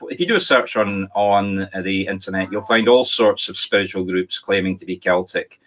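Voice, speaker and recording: A man with a Scottish accent speaks in a fairly low voice. He tells history as a winding story, often correcting himself mid-sentence. The sound comes through a video call. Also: fairly bright tone. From Scotland. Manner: discursive, storytelling, self-correcting. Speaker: spiritualist medium. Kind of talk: online talk, lecturing on history. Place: home, on video call with virtual background.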